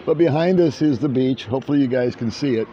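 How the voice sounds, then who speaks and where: A man's voice: medium pitch, quick pace, warm tone, slight background noise. An older man chatting casually to camera at a beach resort, rambling and self-deprecating. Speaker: vlogger. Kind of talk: casual vlog chat. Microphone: handheld small camera. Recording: dull lo-fi outdoor audio.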